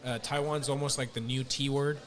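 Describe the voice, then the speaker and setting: A man hosting a podcast, chatting casually and telling stories in an animated way, acting out what other people said to him. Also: medium pitch, fairly quick. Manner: animated storytelling, acts out other people's lines. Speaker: podcast host. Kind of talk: casual chat.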